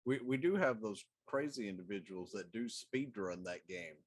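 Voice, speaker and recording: A man's voice: fairly low pitch, lively intonation, moderate pace, husky. A middle-aged man chatting casually as a talk show co-host. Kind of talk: casual chat.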